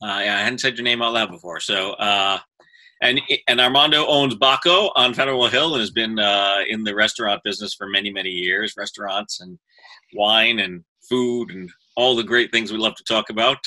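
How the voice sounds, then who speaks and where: A man's voice: fairly low pitch, normal speed, sharp tone, rough recording. A man hosting a casual food and drink interview show, asking friendly, somewhat stumbling questions. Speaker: podcast host.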